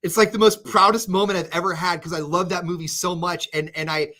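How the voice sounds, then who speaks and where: A man radio host in casual chat with his co-host, animated, often restarting his sentences. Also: sits high, fairly quick.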